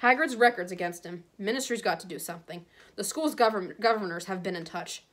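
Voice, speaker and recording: A woman's voice: medium pitch, a little fast, a bit bright, natural voice. A woman voicing a flustered, anxious male government minister in a storybook, speaking in clipped, nervous fragments. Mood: clipped, uneasy.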